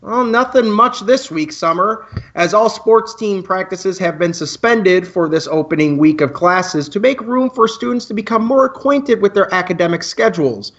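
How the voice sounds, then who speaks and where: Man acting as playful student radio host, high male voice, wordy announcer patter, radio play, slightly dull lo-fi sound. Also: normal speed, few pauses, a bit bright. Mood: playful, wry. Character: male eleventh-grade student co-hosting a campus radio show, playful, wordy. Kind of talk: amateur radio play, chatty campus radio announcing.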